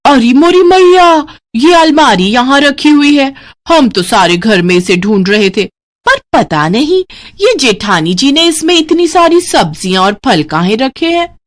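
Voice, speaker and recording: A woman voicing a naive young village daughter-in-law with a rustic eastern Hindi accent, exclaiming earnestly in an animated story. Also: high-pitched, a little slow, voice pushed up. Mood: puzzled. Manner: wide-eyed, exclaiming, earnest. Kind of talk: animated moral story character voice.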